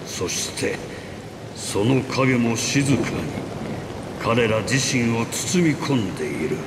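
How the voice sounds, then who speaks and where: A man voicing a grave, ominous philosophical figure in a game, deliberate and portentous. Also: mid-range voice, animated, background noise. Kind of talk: Japanese game voice acting, solemn pronouncements. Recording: long echoing reverb, loud rain ambience.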